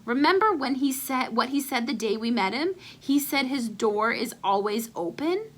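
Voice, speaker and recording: Storybook schoolboy, female reader: eager schemer, insistent, bright higher-pitched delivery with lively swings. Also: normal speed. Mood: eager, hopeful. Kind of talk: children's storybook read-aloud.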